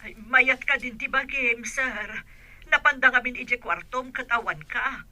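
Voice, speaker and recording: Woman acting a sharp, nagging household helper. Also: sits high, unhurried, exaggerated voice. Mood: worried. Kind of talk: radio comedy drama acting.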